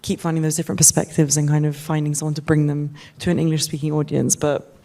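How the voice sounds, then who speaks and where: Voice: mid-range voice, little pitch movement, fast, mellow. An editor from Kosovo whose first language is Albanian, speaking on a live panel in a hesitant way, often searching for words.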